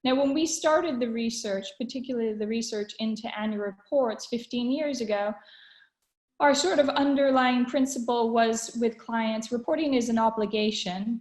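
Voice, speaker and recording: Upbeat webinar host in an echoey room. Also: female voice, fairly high pitch, moderate pace, darkish tone. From Canada. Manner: upbeat, with frequent fillers. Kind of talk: online webinar presentation. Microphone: video call.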